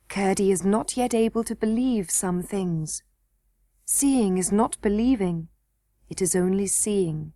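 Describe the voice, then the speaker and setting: A woman voicing a gentle, wise ancient grandmother who speaks slowly and soothingly. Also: mid-range voice, no echo, studio quality, lightly acted. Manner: calm, slow and soothing.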